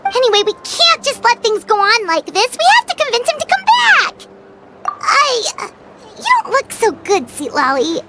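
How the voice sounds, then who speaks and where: An actress playing a tiny, childlike fairy companion in a video game, squeaky, earnest and emphatic. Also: female voice, wildly swooping pitch, normal speed, very noisy, exaggerated voice. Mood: worried. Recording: loud music under the dialogue.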